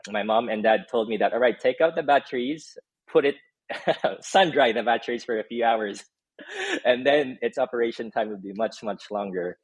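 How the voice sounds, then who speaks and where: A man's voice: mid-range voice, sing-song, normal speed, breathy edge, no room sound, rough recording. A man from the Philippines, a startup co-founder telling his story as a guest in a casual interview, with many "um" fillers. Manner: many "um" fillers, laughs while telling stories.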